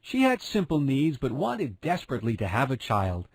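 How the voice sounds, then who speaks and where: A man's voice: mid-range voice, huge pitch swings, normal speed, husky, no room sound. A man narrating a fairy tale as a scripted storyteller.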